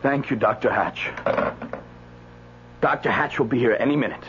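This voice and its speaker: A man's voice: medium pitch, normal speed, lightly acted. An actor playing a nervous, flustered male doctor in a radio drama, jittery and stammering, repeating himself. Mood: nervous relief. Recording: dull, faintly noisy old radio broadcast.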